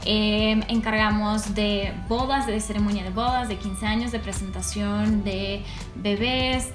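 A young woman from Russia with a Russian accent, answering viewer questions in a casual, animated vlog, gesturing as she talks. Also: mid-range voice, reverberant. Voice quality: animated, gestures widely with her hands. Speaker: vlogger. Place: small room indoors.

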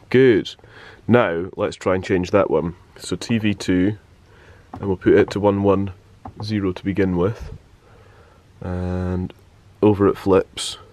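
Low-pitched young man vlogging casually. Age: 20s. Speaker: radio presenter vlogging. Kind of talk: casual vlog chat to camera. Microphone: handheld vlog camera. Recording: noisy handheld camera audio, background rumble.

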